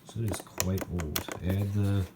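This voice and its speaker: A man's voice: sits low, fairly even intonation, measured pace. A man giving a casual, unscripted show-and-tell of his collection, rambling and thinking aloud as he handles gear. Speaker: hobbyist showing a weather radio collection. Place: indoor room, recorded while handling radios. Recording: echoey room with loud background noise.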